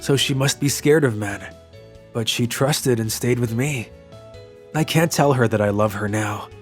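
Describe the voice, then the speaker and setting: Casting: male actor as earnest young office worker, mid-pitched, narrating a manga love story over faint music. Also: a little slow, lightly acted. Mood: wistful, reflective.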